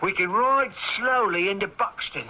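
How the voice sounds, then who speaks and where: A man's voice: high-pitched, moderate pace, dull audio, natural voice. An actor playing a sly, jovial rustic coachman and crook with a folksy manner, in an old-time radio drama. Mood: gleeful, scheming. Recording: faintly noisy old radio recording.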